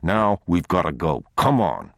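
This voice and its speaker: Male narrator as a protective, worn-out dad in an audiobook: terse, very low, dry close sound. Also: sing-song, a little slow, gravelly, dry recording, full character voice. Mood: urgent, stern. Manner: terse, low, hushed commands. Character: weary, stern, protective father on the run.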